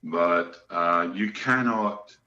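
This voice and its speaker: Middle-aged Scottish man, joking storyteller. Forceful tone, lo-fi recording. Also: low-pitched, drawn-out words. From Scotland. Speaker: guest musician. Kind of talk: casual interview chat. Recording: echoey room, dull lo-fi sound.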